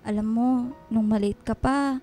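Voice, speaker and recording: An actress playing a gentle, coaxing elderly housekeeper with a Filipino accent in a radio drama. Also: female voice, a little high, steady tone, normal speed, soft background music, natural voice. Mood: gentle pleading. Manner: coaxing, motherly, hesitant. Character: gentle elderly housekeeper, motherly, pleading, flustered peacemaker.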